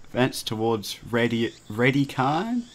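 A man's voice: medium pitch, sing-song, measured pace. A man hosting a let's play, reading a visual novel aloud with casual asides and stumbling over the text. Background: game music.

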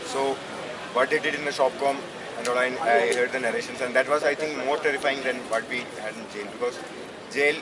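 A man in his twenties with an Indian accent gives an impromptu public address as a student speaker, haltingly repeating phrases as he searches for words. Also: medium pitch, steady tone, a loud crowd. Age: 20s. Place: outdoors at night under a canopy. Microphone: handheld microphone, recorded from a distant camera. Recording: noisy outdoor PA, distant camera pickup.

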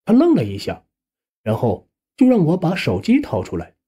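A man's voice: a little high, wildly swooping pitch, normal speed, dark tone, slightly rough. A man narrating a first-person story as an audiobook, acting out the dialogue expressively.

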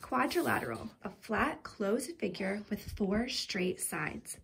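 A woman's voice: medium pitch, expressive, takes it slowly, gravelly, background noise. A woman reading a children's picture book aloud like a teacher, enunciating carefully and with expression.

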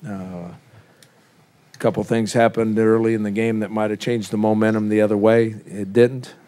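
A man fielding reporters after a loss, speaking low and mellow. he talks plainly and bluntly, with a few uh's as he works out each answer. it sounds like a head coach at a postgame podium. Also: level tone. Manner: measured, plain-spoken, blunt with fillers. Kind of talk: postgame press conference answers.

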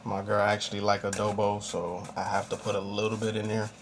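A man's voice: lowish voice, monotone, brisk. Offhand young American man, noisy recording. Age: young adult. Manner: slangy, swearing, offhand. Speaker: home cooking vlogger. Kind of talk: casual chat while demonstrating cooking. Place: small home kitchen, indoors. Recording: clearly noisy amateur camera recording.

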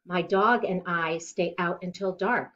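A middle-aged woman reading a children's picture book aloud in an expressive, deliberate way, recorded indoors at home. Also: lowish voice, dull audio. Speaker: children's storybook reader. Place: living room at home, indoors.

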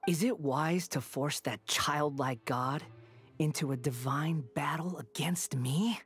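An actor voicing an arrogant young male would-be god in a video game, talking down in a smug, mocking, slow lecturing way. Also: fairly high pitch, fairly bright tone. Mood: condescending.

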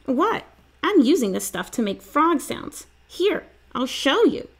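A woman's voice: high voice, big pitch swings, measured pace, voice pushed up, full character voice. A woman reading the part of an enthusiastic, emphatic young boy in a children's storybook. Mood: excited. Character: eager young boy obsessed with frog sounds.

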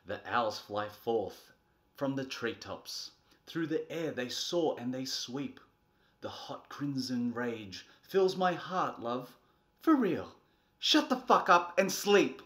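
A man in his thirties doing a comedic, theatrical storybook reading, moving between a soft bedtime tone and exasperated delivery. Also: mid-range voice, expressive, slow. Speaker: presenter reading a picture book. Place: small furnished nursery room indoors.

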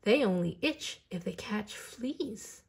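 A woman voicing a matter-of-fact, know-it-all young boy in a picture book, explaining things briskly. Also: mid-range voice, animated, creaky, husky, full character voice.

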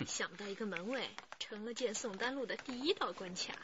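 A woman's voice: medium pitch, a little fast, natural voice. An actress playing a direct, confident young female police detective in a radio crime drama. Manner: direct, familiar. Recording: dull recording with faint noise.

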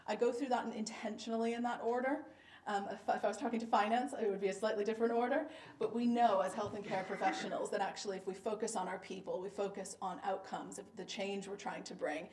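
A woman's voice: mid-range voice, a little mellow, a little hiss or hum. A woman from the United States with a Boston accent, giving an emphatic, data-driven keynote talk at a conference. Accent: Boston American. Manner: emphatic, data-driven, punchy repeated "fact" refrains. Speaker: keynote speaker, health service director. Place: conference lecture room. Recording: echoey room recorded from a distance.

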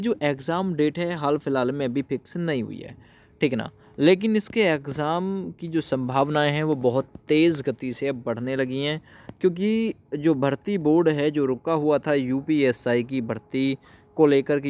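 A man's voice: on the high side, a little mellow. A man hosting an informal update for viewers, explaining in a familiar way and repeating phrases for emphasis. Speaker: host of an exam update channel. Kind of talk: informal explainer talk to viewers about exam news.

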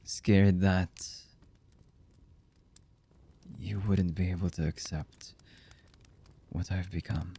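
A man acts out a lonely, brooding young villain for an anime boyfriend ASMR. The voice is low and mellow, husky with breath and often near a whisper. He speaks very slowly and hesitantly, as if confiding something intimate. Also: steady tone, heavily acted. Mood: lonely, vulnerable. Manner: slow, halting, hesitant, intimate.

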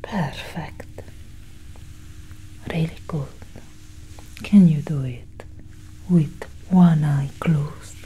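A woman playing a curious, coolly possessive female alien doctor in a soft, whispered ASMR roleplay, breathy and close to the microphone. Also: low voice, slight background noise, low-quality recording. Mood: intrigued, fond. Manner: slow, deliberate, hushed.

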